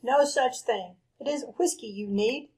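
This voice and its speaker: An actress playing an anxious, pleading frontier wife in a radio western. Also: female voice, fairly high pitch, a little slow, darkish tone, lightly acted. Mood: worried, urgent. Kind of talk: old-time radio western acting.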